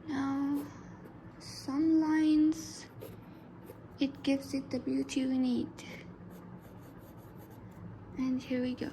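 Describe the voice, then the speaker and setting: A woman whose first language is Arabic talks through a drawing lesson in a soft, half-whispered voice, pitched a little high and slightly gravelly. She speaks slowly and unhurriedly, and the recording carries clear background noise and pencil scratching. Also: completely flat delivery, poor audio. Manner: soft, often whispered narration. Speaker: art tutor. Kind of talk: drawing tutorial voice-over.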